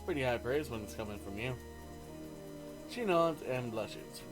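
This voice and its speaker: Very animated man narrating a game. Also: mid-range voice, unhurried, low-quality recording. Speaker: gaming video host. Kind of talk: reading visual novel narration aloud, with casual asides. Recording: faint game music behind.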